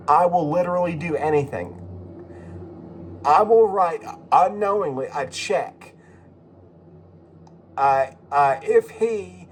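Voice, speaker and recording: Higher-voiced man rambling slowly, swinging pitch. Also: slight background noise. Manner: profane, informal. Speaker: YouTube host. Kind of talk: casual rambling video commentary.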